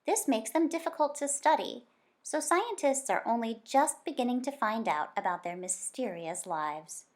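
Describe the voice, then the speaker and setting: A woman reading a children's nonfiction picture book aloud like a teacher, in an expressive, deliberate storytelling manner. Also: a little high.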